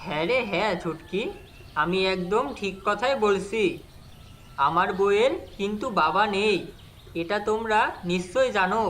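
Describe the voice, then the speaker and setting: A man's voice: high-pitched, normal speed, fairly bright tone, slight background noise. A man voicing a greedy, two-faced young husband in a cartoon fairy tale, persuasive and explanatory. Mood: sly, boastful. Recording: music under the voice. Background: background music.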